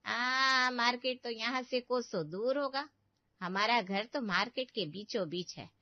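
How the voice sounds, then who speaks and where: A woman's voice: fairly high pitch, unhurried, no echo, lo-fi sound. A woman voicing a critical, meddling older aunt in a moral-story cartoon, lecturing and scolding with emphatic delivery. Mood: nosy, fussing.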